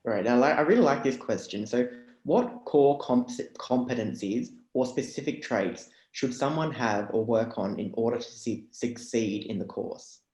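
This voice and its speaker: A man hosting a live session, briskly reading out audience questions in an upbeat moderator's way. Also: mid-range voice, expressive, a little mellow. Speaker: host. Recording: echoey room.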